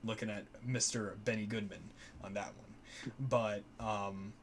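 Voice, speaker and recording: A man's voice: fairly low pitch, fairly even intonation, unhurried, slight background noise. A young man co-hosting a casual live-stream chat about old music, loose and joking, with many fillers. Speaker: co-host.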